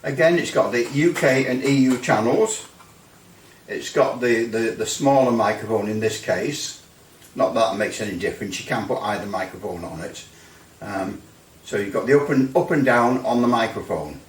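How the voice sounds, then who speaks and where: A man's voice: fairly low pitch, moderate pace. A man giving a casual, rambling hands-on product review as a hobbyist, hesitating and repeating phrases for emphasis. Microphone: microphone some distance from the speaker. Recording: roomy echo, distant microphone.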